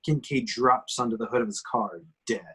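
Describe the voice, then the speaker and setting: A man's voice: medium pitch, moderate pace, pushed and bright, breathy edge. Man narrating a horror screenplay. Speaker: reader of action descriptions. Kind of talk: scripted screenplay narration at a table reading.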